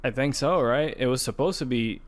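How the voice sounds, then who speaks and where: A man's voice: medium pitch, a little fast, slight background noise. A man co-hosting a podcast, talking in a casual, informal way like an enthusiastic gamer. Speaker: podcast co-host. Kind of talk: casual chat about video games and movies.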